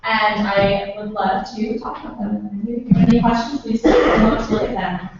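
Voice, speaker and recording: A woman's voice: mid-range voice, steady tone, moderate pace, recorded from a distance, background noise. A young woman applying for a student board post, giving an earnest, self-assured statement and answering questions. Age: young adult. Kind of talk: prepared opening statement and answers. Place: council meeting room. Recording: dull, echoey room from afar.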